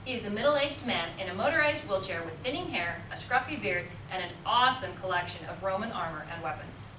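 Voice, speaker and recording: A woman's voice: mid-range voice, animated, brisk. A woman reading scripted story narration for amateur reader's theater. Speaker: teacher, narrator. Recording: echoey room, distant mic, clear noise.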